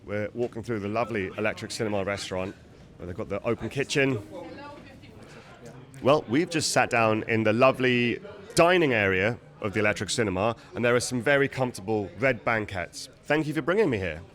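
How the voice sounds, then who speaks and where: A man's voice: fairly low pitch, expressive, fairly quick, a bit bright. A man hosting a casual walking interview, chatty and self-deprecating, asking his guest questions. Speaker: interview host.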